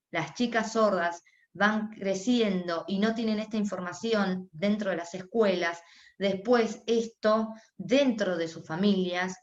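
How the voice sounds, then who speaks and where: A woman with an Argentine accent voicing a deaf panelist's signing into speech at a panel discussion. She talks haltingly, with many restarts and pauses. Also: medium pitch, roomy sound, highs cut off. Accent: Argentine Spanish. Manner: halting, with frequent restarts and pauses while interpreting. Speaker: sign language interpreter voicing a deaf panelist. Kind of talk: live voice interpretation at a panel discussion.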